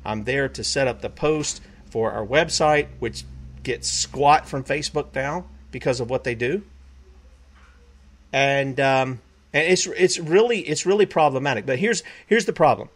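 A man's voice: medium pitch, a little slow. A man hosting a web broadcast, preaching emphatically, repeating himself and quoting scripture. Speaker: talk show host.

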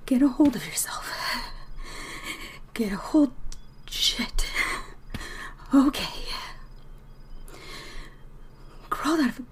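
Frightened stranded woman, audio drama: high, slow, breathy self-talk, scolding herself onward. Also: very animated, in a whisper, full character voice. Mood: panicked, whispering. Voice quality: breathy, hushed. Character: frightened, shaken woman stranded alone in the desert.

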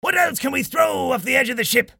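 Bellowing old pirate captain, high-pitched. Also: male voice, expressive, a little fast, creaky, husky, dry recording, studio quality, full character voice. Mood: excited. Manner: shouting, piratical exclamations and phrasing. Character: blustering old male pirate captain with a cackling laugh. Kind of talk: acted children's storybook character.

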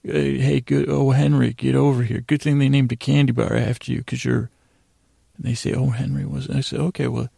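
A man hosting a sleep podcast in a rambling bedtime monologue, halting and meandering, repeating himself and trailing off mid-thought. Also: mid-range voice, gravelly, dark tone, dry recording, studio quality.

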